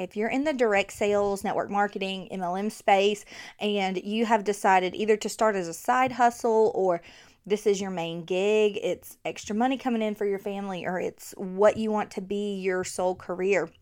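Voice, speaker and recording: A woman's voice: medium pitch, clear, resonant tone. Chatty Southern woman podcaster. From Alabama. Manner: chatty, laughing, lots of fillers. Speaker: podcast host. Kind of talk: casual podcast monologue. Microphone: new podcast microphone.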